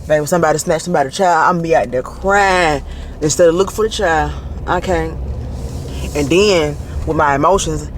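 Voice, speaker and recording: A man with a Southern Alabama accent vlogging casually from his car, animated and laughing often as he talks to a handheld camera. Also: a little high. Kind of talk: casual driving vlog. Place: in a car, driving. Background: faint car and road noise.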